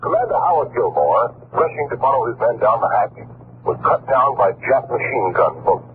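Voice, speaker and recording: A man's voice: mid-range voice, very animated, fairly quick. A man announcing on 1940s radio in a dramatic, solemn, emphatic scripted narration. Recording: scratchy muffled 1940s radio recording.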